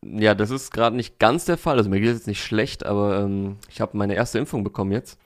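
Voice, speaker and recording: Slightly hoarse man hosting a chat. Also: fairly low pitch, a little fast. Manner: relaxed, talkative, with frequent fillers. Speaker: podcast host. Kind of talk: casual music review chat.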